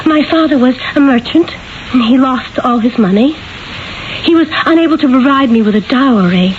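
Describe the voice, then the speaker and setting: An actress playing a demure, naive young merchant's wife in a 1970s radio drama, speaking simply, earnestly and hesitantly. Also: female voice, fairly high pitch, darkish tone, lightly acted. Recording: dull old radio, faint hiss.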